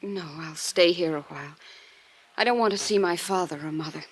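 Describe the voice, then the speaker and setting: A woman's voice: on the low side, fairly quick, breathy edge. An actress playing a bitter, wounded young woman in love in a 1950s radio drama, subdued and brittle.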